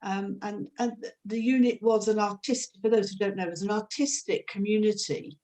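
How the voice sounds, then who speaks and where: Older woman, mid-pitched voice, host of a talk webinar; halting, reflective questioner. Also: fairly even intonation, mellow. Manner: hesitant, many fillers and restarts in long questions. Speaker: host, charity chief executive.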